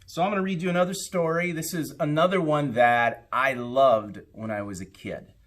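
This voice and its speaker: A man, a school principal, talking to children and reading a storybook aloud expressively. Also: on the high side. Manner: expressive, deliberate storytelling with pauses.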